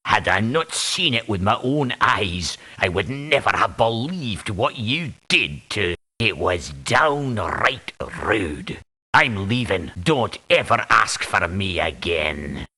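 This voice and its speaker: A man's voice: on the low side, very animated, slow pace, bright, forceful tone, heavily acted. A man voicing a stern, proud video game mercenary with a clipped, formal way of talking. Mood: affronted, stern. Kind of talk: video game character voice acting.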